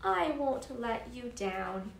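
A woman's voice: a little high, animated, slow pace, creaky, exaggerated voice. A woman voicing a kind, loyal male elephant from a children's storybook, earnest and protective. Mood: reassuring. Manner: earnest, reassuring, declaiming. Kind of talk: children's storybook read-aloud. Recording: echoey room with faint noise.

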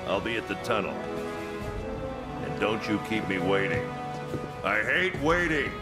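An actor playing a gruff, jovial veteran admiral in a video game cutscene, hearty and boastful with frequent chuckles. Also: male voice, fairly low pitch, sing-song, measured pace. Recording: game cutscene with loud music.

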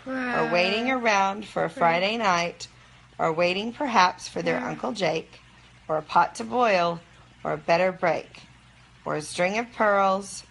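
A woman's voice: lowish voice, gravelly, a bit bright, rough recording. A woman reading a rhyming children's book aloud. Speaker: volunteer reader. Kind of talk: picture-book read-aloud.

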